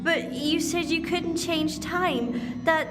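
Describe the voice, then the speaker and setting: A woman's voice: extremely high pitch, slow pace. A woman playing a young, anxious, questioning companion to a time traveller in a sci-fi audio drama, hesitant and earnest. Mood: anxious, guilty. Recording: long reverberant hall, loud music behind. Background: loud dramatic music.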